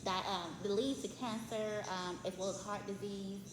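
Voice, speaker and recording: A woman's voice: medium pitch, steady tone, normal speed, background noise. A woman from Memphis, Tennessee with a light voice, a podcast guest explaining her herbal tea business in a friendly way. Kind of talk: casual podcast interview. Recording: echoey room with music behind.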